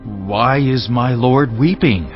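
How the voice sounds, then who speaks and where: A man's voice: mid-range voice, takes it slowly, dull audio, faint music behind, natural voice. A man acting a deferential, humble envoy of a king in a dramatized scripture audiobook. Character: deferential male royal envoy, humble and measured.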